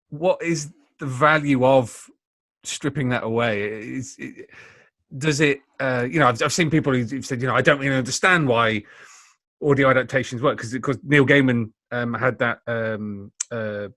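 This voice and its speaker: A man hosting a podcast, asking casual questions in a halting way and repeating words as he forms them. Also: medium pitch, fairly even intonation, a bit bright, no echo. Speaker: podcast interviewer and host.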